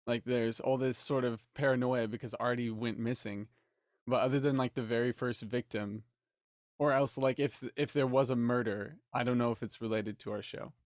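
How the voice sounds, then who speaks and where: A man's voice: mid-range voice, steady tone, normal speed, no room sound, studio quality. A man chatting casually on a panel in a tentative, wry way. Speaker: cast member on a panel. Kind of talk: casual chat.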